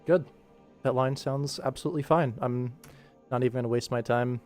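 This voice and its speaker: A man's voice: mid-range voice, steady tone, brisk, darkish tone. A man giving casual livestream commentary, informally thinking aloud as he works on an animation production. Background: music.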